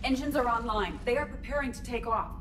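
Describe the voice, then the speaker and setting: Woman playing a quick, precise squad member. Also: a little high, fairly bright tone, slight background noise, lightly acted. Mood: matter-of-fact. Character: composed, technically minded female squad member. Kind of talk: cinematic video game acting. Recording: distant, music behind.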